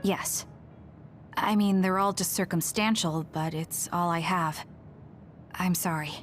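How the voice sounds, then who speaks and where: An actress playing a reserved, earnest young soldier in an anime video game dub. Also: female voice, lowish voice, fairly even intonation, unhurried, soft background music, lightly acted. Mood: apologetic, hesitant.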